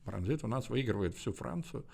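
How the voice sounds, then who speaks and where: A man's voice: mid-range voice, expressive, a little fast, creaky, a little mellow, slightly rough. A man chatting casually as the host of an interview podcast.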